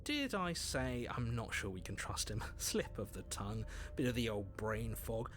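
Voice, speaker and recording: An actor voicing a scheming, opportunistic inner voice in a video game, speaking in a smooth, conspiratorial way. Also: male voice, mid-range voice, big pitch swings, normal speed, fairly bright tone.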